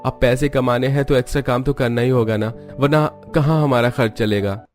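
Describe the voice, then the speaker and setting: A man's voice: mid-range voice, steady tone, fairly quick, faint music behind, lightly acted. A man voicing a weary, hardworking poor labourer devoted to his family in a cartoon drama. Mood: earnest, reassuring.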